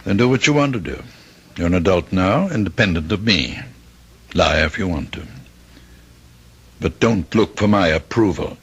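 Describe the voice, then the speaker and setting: An actor playing a stern, principled father in a radio drama, measured and moralizing. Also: low voice, animated, a bit bright, breathy edge, heavily acted. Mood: reproachful. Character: stern, high-principled father, quietly reproachful and unbending. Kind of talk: old-time radio drama acting.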